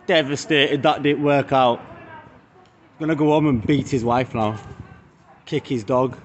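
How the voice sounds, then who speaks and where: A man's voice: medium pitch, fairly even intonation, unhurried, highs cut off. A man in his thirties with a British English accent, filming himself on a phone while walking outdoors, chatting to viewers and throwing mocking jabs. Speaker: street vlogger. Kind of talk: walking selfie vlog chat. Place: outdoors on a city street. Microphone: phone held at arm's length. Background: faint street traffic.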